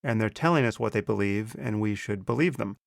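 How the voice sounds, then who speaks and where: A man hosting a podcast interview, speaking in a measured, thoughtful way with some stammered restarts. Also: lowish voice, creaky, dry recording, clean studio sound. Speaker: podcast host. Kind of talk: conversational interview.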